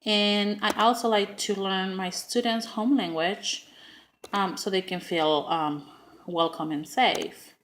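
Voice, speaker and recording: A woman's voice: mid-range voice, steady tone, takes it slowly, fairly bright tone, a little hiss or hum. A middle-aged woman with a Spanish accent giving a prepared class speech, earnest and with frequent ums and uhs.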